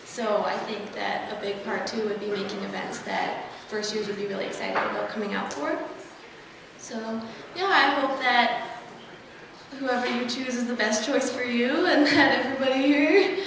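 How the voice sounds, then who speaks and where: A woman's voice: high voice, fairly even intonation, normal speed. A young woman from Russia whose first language is Russian, giving an earnest campaign speech as a student candidate, laughing nervously now and then. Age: young adult. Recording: echoey hall, distant mic, noisy.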